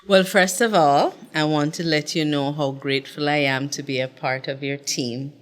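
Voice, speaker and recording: A woman's voice: deep voice, steady tone, normal speed. A middle-aged woman who grew up in Jamaica, telling her life story earnestly as a podcast guest. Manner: earnest, reflective. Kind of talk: casual interview storytelling.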